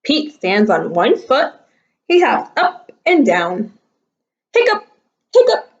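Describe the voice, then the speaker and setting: A woman teacher reading a picture book aloud to young children in a deliberate, sing-song storytelling manner. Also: medium pitch, very animated. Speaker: primary school teacher. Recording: slightly dull, lo-fi sound.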